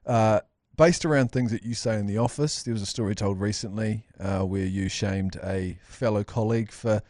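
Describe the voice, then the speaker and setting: A man's voice: lowish voice, fairly even intonation, moderate pace, a little mellow, no echo. New Zealand man hosting, dry studio sound. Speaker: podcast host. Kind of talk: casual banter.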